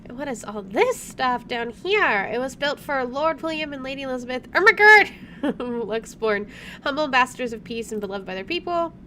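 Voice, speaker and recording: A woman with a rather high voice narrates her own video game playthrough. She is casual, chatty and playfully wry. There is clear room noise from an air conditioner behind her. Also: animated, normal speed, sharp tone. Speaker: gaming video host. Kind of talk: casual playthrough commentary, reading game text aloud. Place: indoors at home, air conditioner running.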